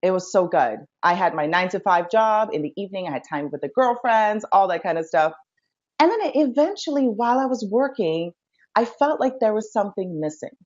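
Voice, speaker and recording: A woman's voice: medium pitch, expressive, fairly quick, a little mellow. A woman from India, a life coach appearing as a guest, telling her story in a casual, enthusiastic way. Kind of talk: casual interview chat. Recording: dull lo-fi sound.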